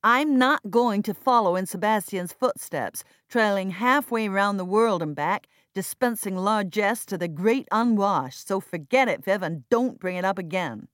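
A woman's voice: mid-range voice, animated, a little slow, hardly any pauses, dry recording, clean studio sound, pitched above the performer's own voice, natural voice. A woman playing a cold, flippant and bitter grown son in an audiobook drama, with a detached, sardonic and dismissive manner. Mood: scornful, defiant.

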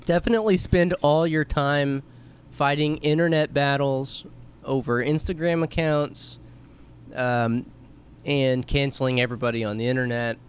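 A man co-hosting a podcast, chatting casually and rambling, his voice going hoarse. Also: mid-range voice, fairly even intonation, moderate pace. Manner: rambling, laughing, trailing off. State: losing his voice. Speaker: podcast co-host. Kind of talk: casual chat.

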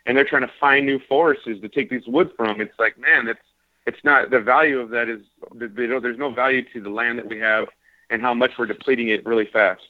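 Fast-talking man on tinny radio. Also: medium pitch, fairly even intonation, no echo. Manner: fast, earnest, rambling. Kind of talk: casual radio talk show chat. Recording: tinny phone-like radio audio, dry.

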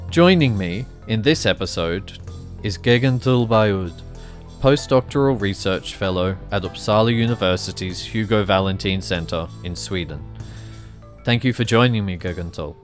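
A man hosting a podcast, giving formal scripted introductions and asking clear questions. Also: on the low side, expressive, normal speed, soft background music. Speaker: podcast host. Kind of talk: podcast interview.

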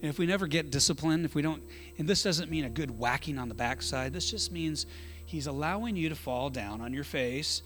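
Middle aged guy pastor preaching kinda casual, highish voice, folksy, jokes and side comments, faint music behind. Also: fairly quick, a bit bright. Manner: folksy, joking asides, self-interrupting. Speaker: pastor preaching at an evening church service. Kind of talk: informal conversational sermon.

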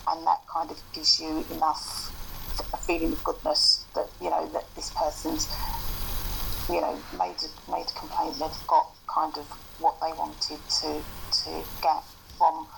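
Calm gravelly-voiced man, halting. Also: a little high, noisy background. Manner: calm, hesitant, many fillers. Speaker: advocate. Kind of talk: casual interview answers.

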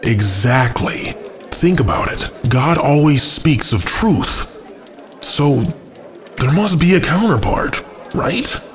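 A man playing a brooding, cunning angel who plots rebellion, speaking in a measured, musing way in an audio drama. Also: a little high, expressive, soft background music. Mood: musing, sly.

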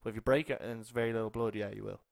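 A man's voice: on the low side, steady tone, racing, creaky, darkish tone. An Irish man co-hosting a casual podcast, chatting with short interjections and light teasing. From Ireland. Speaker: podcast co-host. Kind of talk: casual chat.

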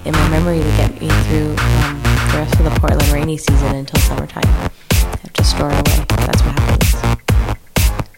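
A woman improv performer casually recalling something, halting and wistfully deadpan. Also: low-pitched, creaky, loud music behind the voice. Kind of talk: casual recollection.

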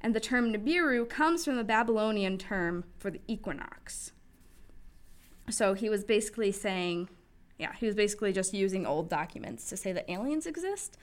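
A woman's voice: medium pitch, lively intonation, normal speed. Chatty young woman podcaster. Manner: chatty, filler-heavy, giggly. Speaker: podcast co-host. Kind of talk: casual conversational chat.

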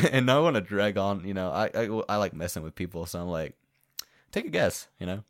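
A man's voice: low-pitched, very animated, normal speed, dry recording, clean studio sound. A young man from Sweden with a Swedish accent, co-hosting a podcast in rambling, joking casual chat and swearing freely. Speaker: podcast co-host.

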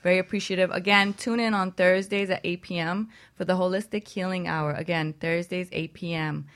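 A woman's voice: on the low side, fairly even intonation, brisk. A woman co-hosting a casual radio show, a yoga teacher chatting and giving out plugs.

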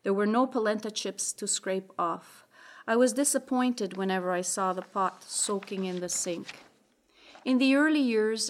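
A woman from Italy whose first language is a Venetian dialect, reading her own prose aloud in a measured, careful way. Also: mid-range voice. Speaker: writer.

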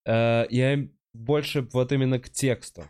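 A man hosting a casual interview, asking relaxed questions with fillers. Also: mid-range voice, unhurried, smooth. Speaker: host.

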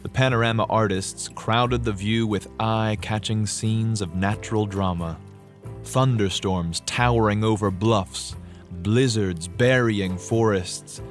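A man with a fairly low voice reads narrative history as an actor would, dramatically and expressively. His delivery is measured storytelling, with faint music behind him. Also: fairly even intonation. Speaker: actor reading from a history book. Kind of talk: dramatic audiobook-style reading.

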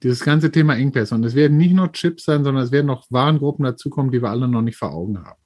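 A man's voice: medium pitch, rapid-fire. A talkative man co-hosting a podcast, giving business advice with sports comparisons. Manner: lively, talkative, uses sports analogies. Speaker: podcast co-host. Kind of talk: casual business chat.

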